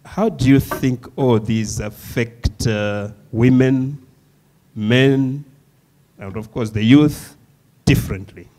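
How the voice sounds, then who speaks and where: A man's voice: medium pitch, takes it slowly, mellow. A man moderating a panel discussion, pressing speakers with teasing, provocative questions. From Southern Africa.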